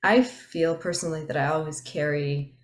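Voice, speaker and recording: A woman's voice: deep voice, moderate pace, roomy sound. A woman speaking on a panel in a reflective, hesitant way, with many fillers. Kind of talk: panel discussion.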